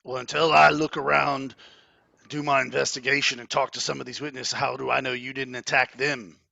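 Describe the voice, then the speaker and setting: A man doing a gruff old guard captain. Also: mid-range voice, flat delivery, brisk, pushed and bright, no room sound, lo-fi sound, full character voice. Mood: suspicious. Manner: barking, suspicious, threatening. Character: gruff older male town guard captain.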